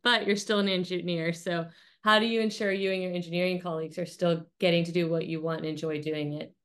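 Woman, thirties, slightly low pitch, informal interviewer, conversational, affirming, video call. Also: steady tone, fast. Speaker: interviewer, host. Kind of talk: casual interview chat. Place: small home office, indoors.

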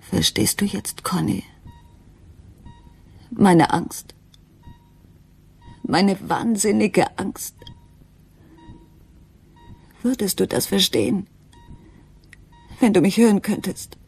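A woman playing an anguished, frightened wife and mother in a radio drama, speaking haltingly and intimately, at times near a whisper. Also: mid-range voice, darkish tone, heavily acted. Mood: fearful, urgent. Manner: breathy, halting, dropping into whispers. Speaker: actress in a crime radio play.

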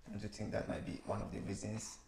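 A man's voice: fairly low pitch, monotone, fast, darkish tone, echoey room, a little hiss or hum. A man with a Cameroonian accent hosting a studio interview, asking probing questions. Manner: questioning, leading the talk. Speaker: show host. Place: small studio living-room set, indoors.

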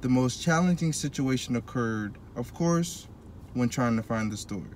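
A man from Gulfport, a student speaking in a composed, deliberate way about ethnography. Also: medium pitch, expressive, a little mellow, a little hiss or hum. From Gulfport, Mississippi. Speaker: student documentary maker. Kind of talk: reflective testimonial.

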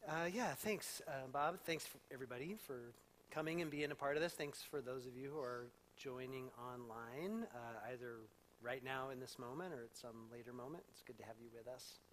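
Thoughtful middle-aged pastor in a church. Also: male voice, medium pitch, a bit bright, a little hiss or hum. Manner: thoughtful, candid, unhurried with fillers. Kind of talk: casual reflective talk before a congregation. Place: church sanctuary with a live audience. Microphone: handheld mic.

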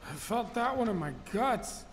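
An actor playing an amiable, weary, good-natured rebel sidekick in a video game. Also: male voice, sits high, expressive, measured pace, noisy background. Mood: easygoing. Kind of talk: video game cutscene acting. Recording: long reverb, faint music.

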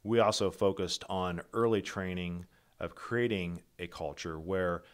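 A middle-aged man, the founder of a company, presenting a prepared keynote over slides in a steady, methodical, businesslike way, with the odd slip and restart. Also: deep voice, a little slow.

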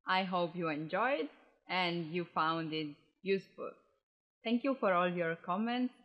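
A woman in her early twenties with an Italian accent, a native Italian speaker, teaching a language lesson to camera. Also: on the low side. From Italy. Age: early 20s. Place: indoors, in front of a flag backdrop.

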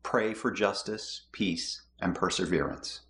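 A middle-aged man reading the prayers of the people in a measured, steady way from his home office. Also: deep voice. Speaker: lay prayer leader.

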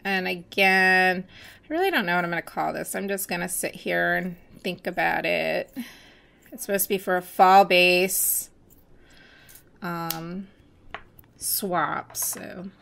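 A woman chatting casually while mixing glitter, her voice going hoarse as she loses it, with many pauses and fillers. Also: on the low side, fairly bright tone. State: losing her voice, hiccups. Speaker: nail art seller mixing glitter. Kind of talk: casual crafting voice-over.

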